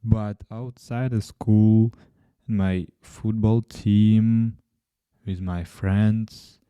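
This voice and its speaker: Slow, mellow Ukrainian-accented man. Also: on the low side, fairly even intonation, smooth, dry recording. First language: Ukrainian and Russian. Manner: halting, many pauses and restarts, non-native grammar. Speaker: podcast host. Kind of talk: casual solo podcast talk.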